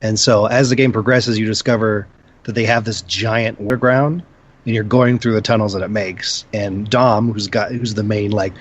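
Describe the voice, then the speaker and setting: Husky low-voiced man telling a story. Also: normal speed, lo-fi sound. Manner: animated storyteller, self-deprecating. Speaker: podcast guest. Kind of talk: casual gaming podcast chat.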